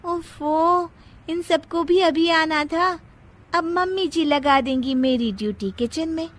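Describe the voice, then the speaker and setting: A woman's voice: extremely high pitch, takes it slowly. A woman voicing a lazy, cheeky young daughter-in-law in a cartoon family story, whiny and nonchalant. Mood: reluctant.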